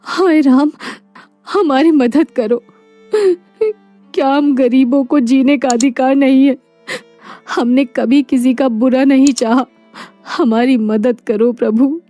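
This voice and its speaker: A woman's voice: high-pitched, slow, dark tone, soft background music. A woman voicing a poor, proud and devoted wife in a moral story, earnest and emotional. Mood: tearful pleading. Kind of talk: animated moral story dialogue.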